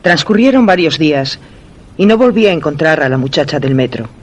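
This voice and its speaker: Lively, high-pitched courteous man. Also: brisk. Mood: polite, attentive. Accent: Castilian Spanish. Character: polite, apologetic man reading a story aloud to companion. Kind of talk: radio theatre dialogue.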